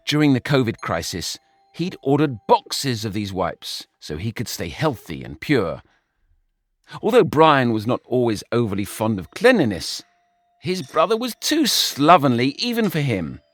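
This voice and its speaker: A man reading a short story aloud in an expressive, wry manner. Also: medium pitch. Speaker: audiobook narrator. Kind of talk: scripted story narration.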